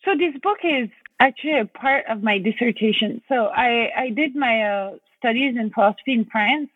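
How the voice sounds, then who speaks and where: A woman's voice: a little high, normal speed, no echo. A woman guest author answering interview questions in a thoughtful way, searching for her words, heard over a remote line. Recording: tinny phone-like line, dry.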